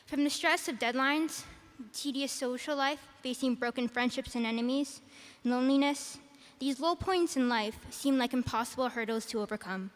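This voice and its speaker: Soft-spoken teenage girl in an echoing arena. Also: sits high, moderate pace, few pauses. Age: teens. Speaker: salutatorian giving graduation speech. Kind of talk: prepared commencement speech. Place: large arena. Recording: arena with long reverb.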